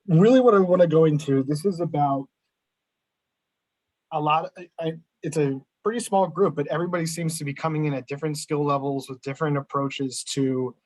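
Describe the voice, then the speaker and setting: A man from New England with a Boston accent, giving a relaxed, conversational talk as guest speaker on a video call. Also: on the high side, no echo, rough recording. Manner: relaxed, conversational, self-restarting. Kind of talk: presentation over video call.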